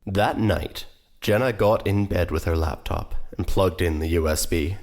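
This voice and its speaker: A man's voice: sits low, a little slow, darkish tone. A man playing a grave, theatrical narrator in an audio drama. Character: grave, theatrical narrator of a fateful true story.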